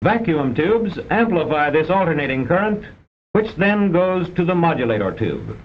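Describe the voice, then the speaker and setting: A man's voice: very animated, moderate pace. A man reads scripted narration for an old educational film in a formal, precise, didactic way, on a vintage film soundtrack. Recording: dull vintage film soundtrack with rumbling noise.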